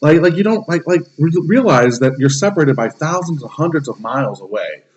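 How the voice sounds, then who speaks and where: A man's voice: fairly high pitch, animated, a little fast, muffled recording. A man in his thirties hosting a casual podcast, ranting emphatically with plenty of swearing. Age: 30s. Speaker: podcast host.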